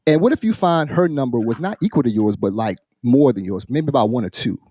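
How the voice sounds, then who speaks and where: A man's voice: mid-range voice, lively intonation, mellow, no echo, clean studio sound. Guy hosting a podcast, kinda stop-start, repeats himself, voice jumps around a lot, asking stuff. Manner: halting, repeats and restarts phrases while building up questions. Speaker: podcast host posing a question. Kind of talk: casual podcast discussion.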